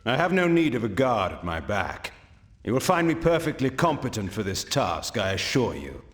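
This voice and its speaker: An actor playing a proud, cold vampire antihero in a fantasy game, speaking in a haughty, formal and clipped way. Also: male voice, medium pitch, sing-song, moderate pace, fairly bright tone. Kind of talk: theatrical fantasy game cutscene acting.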